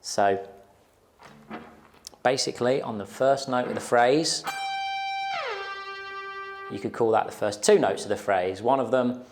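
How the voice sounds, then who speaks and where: Young male guitar teacher, gravelly, lapel mic, guitar behind him. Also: mid-range voice, a little slow, long pauses. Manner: explains step by step, counts beats aloud, sings riffs. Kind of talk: casual guitar lesson. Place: small plain indoor studio. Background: electric guitar playing.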